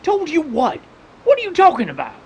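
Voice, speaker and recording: A man's voice: very high voice, huge pitch swings, fairly quick, a little hiss or hum, full character voice. A man playing a frightened, bewildered young captive in a radio western, agitated and protesting. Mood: alarmed, bewildered. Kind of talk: old-time radio western acting.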